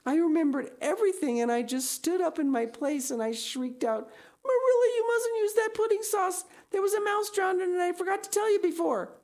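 High-pitched young girl, acted by a woman, storybook character, earnest, melodramatic, emphatic, easily distressed. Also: animated, fairly quick, a little mellow. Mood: mortified, shrieking.

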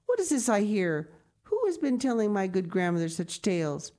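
A woman voicing a kindly, dignified wealthy lady who speaks warmly and firmly. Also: mid-range voice, lively intonation, normal speed, voice pushed up, natural voice. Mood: indignant. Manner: warm, firm and reassuring.

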